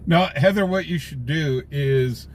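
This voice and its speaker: A man's voice: fairly high pitch, measured pace. A middle-aged American man chatting casually with livestream viewers in a folksy, joking way from inside a vehicle. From United States. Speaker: livestream host, independent scanner chaser. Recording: live raw stream with vehicle noise. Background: faint vehicle and ambient noise.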